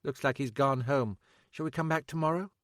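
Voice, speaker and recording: A man's voice: medium pitch, fairly quick, no echo, clean studio sound, voice pushed up, lightly acted. A man voicing a wry, teasing young woman who is a detective sergeant.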